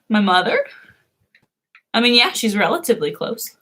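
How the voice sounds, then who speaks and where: A woman's voice: a little high, fairly bright tone, breathy edge. A young woman hosting a casual live stream, who chats, laughs often and answers her viewers' comments.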